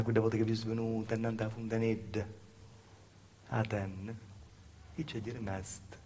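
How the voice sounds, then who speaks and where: A man's voice: on the low side, warm tone, natural voice. Male actor as Calabrian mother, plaintive, folksy, repetitive, stage monologue, faint background noise. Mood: wistful. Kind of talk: theatre monologue in dialect.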